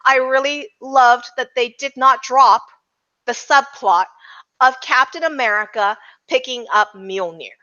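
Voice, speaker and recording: A woman with a fairly high, bright voice hosts a casual film roundtable. She is opinionated and emphatic, often repeating a phrase to drive it home. The audio is a little dull and lo-fi. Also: unhurried. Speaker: podcast host leading a roundtable. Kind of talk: casual discussion, movie review.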